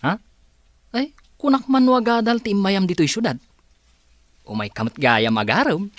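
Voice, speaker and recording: A man's voice: high voice, animated, normal speed, fairly bright tone, no room sound. A man playing a teasing, familiar young buddy in an audio drama. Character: bantering young male friend of a bachelor. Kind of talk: dialogue in an amateur audio drama.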